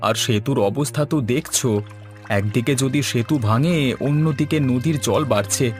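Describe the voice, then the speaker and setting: A man's voice: mid-range voice, moderate pace, soft background music, natural voice. A man voicing a concerned, earnest village man who explains things in scripted cartoon dialogue. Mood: worried. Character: concerned village man urging neighbours to work together.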